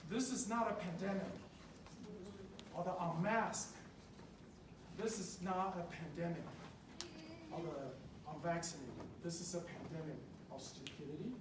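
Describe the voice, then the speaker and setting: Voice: medium pitch, unhurried, pauses often. Echoey, impassioned public speaker from Taiwan. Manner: emotive, emphatic, repeating phrases for effect. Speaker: public speaker at a school board meeting, treats patients. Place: indoor public meeting room. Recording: distant mic in echoey, noisy meeting room.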